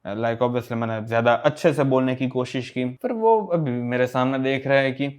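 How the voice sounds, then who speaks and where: A young man from Gujarat with a Gujarati accent, telling a story casually to camera as a fitness vlogger, mixing in English words and pausing often. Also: medium pitch, darkish tone, clean tone. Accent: Gujarati-accented Hindi. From Gujarat, India. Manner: chatty, mixes English words into Hindi, many pauses.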